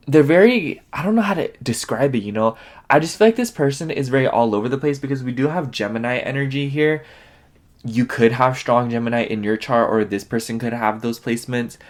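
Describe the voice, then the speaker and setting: A man's voice: medium pitch, normal speed. A man giving a casual tarot reading, rambling with lots of fillers, his voice sniffly and nasal. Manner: chatty, rambling, heavy on fillers. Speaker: tarot reader.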